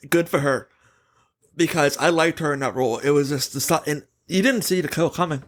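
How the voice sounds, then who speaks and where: A male podcast host with a somewhat higher-pitched voice. He talks casually and offers opinions with animated emphasis, as if chatting with a friend on his show. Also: fairly quick.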